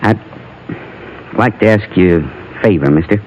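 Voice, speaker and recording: A man's voice: fairly low pitch, normal speed, darkish tone, slight background noise, lightly acted. An American actor playing a bitter, wistful condemned outlaw in his thirties, with a rustic frontier drawl, in an old radio western. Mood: resigned. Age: 30s. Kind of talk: 1950s radio western acting. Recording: dull lo-fi vintage radio recording.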